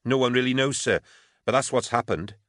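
A man's voice: medium pitch, a little fast, fairly bright tone, no room sound, clean studio sound, voice pushed up, close to an everyday voice. A man voicing an anxious female army trooper in an audiobook, urgent and deferential as if reporting to superiors. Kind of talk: audiobook character acting.

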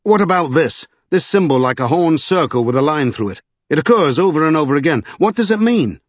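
A man's voice: medium pitch, animated, a little fast, breathy edge, dry recording, muffled recording. A man voicing an earnest, desperate serving man in a storybook, insistent and exasperated.

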